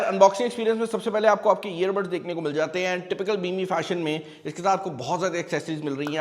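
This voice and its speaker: Male Pakistani tech reviewer, high voice, conversational unboxing delivery with English mixed in, reverberant room. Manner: conversational, mixes English phrases into speech. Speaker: tech reviewer and channel host. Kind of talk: product review and unboxing, casual explaining to viewers. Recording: long reverberant room echo.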